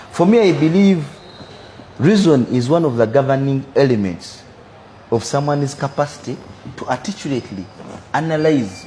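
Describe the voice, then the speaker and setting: Ugandan man in his twenties, measured. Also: mid-range voice, dark tone, a little hiss or hum. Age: 20s. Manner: measured, philosophizing, repeats "for me, I believe". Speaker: businessman guest. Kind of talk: interview answers.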